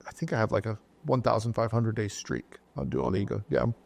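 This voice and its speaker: A middle-aged man hosts a relaxed interview, chatty and stammering a little with repeated words. His voice is gravelly, with plenty of fry at an ordinary pitch. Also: brisk. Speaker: podcast host. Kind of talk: casual interview talk.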